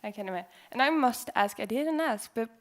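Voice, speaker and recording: A woman's voice: a little high, lively intonation, a little fast. Young woman interviewing contestants on stage. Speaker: event host interviewing contestants. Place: university auditorium stage. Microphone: handheld mic.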